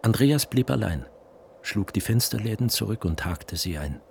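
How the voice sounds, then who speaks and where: Deep-voiced male radio drama narrator. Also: animated, vocal fry, a little mellow, a little hiss or hum. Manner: measured, precise.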